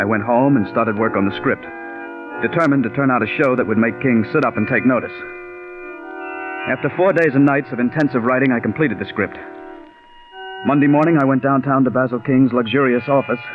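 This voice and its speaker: An actor playing an earnest, patient scriptwriter in a polite, measured 1940s radio drama manner. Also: male voice, medium pitch, lightly acted. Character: earnest, patient male scriptwriter narrating his own story. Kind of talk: 1940s American radio drama acting and first-person narration. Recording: dull vintage radio recording with music.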